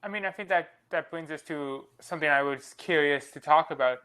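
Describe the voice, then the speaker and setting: Young guy podcast cohost, kinda high voice, earnest, rambles fast when he's reflecting. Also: bright, forceful tone, smooth. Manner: earnest and reflective, quick run-on sentences. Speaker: podcast co-host. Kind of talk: casual podcast conversation.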